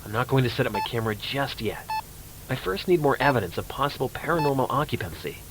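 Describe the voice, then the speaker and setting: A man's voice: medium pitch, animated, fast talker, background noise, natural voice. A man playing a brash, casual amateur ghost hunter in found-footage horror, muttering to himself. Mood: focused, searching.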